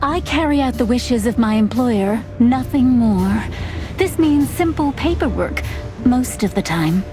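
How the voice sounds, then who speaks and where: A timid young fawn secretary, with a high voice and a polite, guarded manner. She stays dutiful and composed in a film-noir game style, over clearly audible music. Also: animated, unhurried. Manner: polite, reserved, hesitant yet composed.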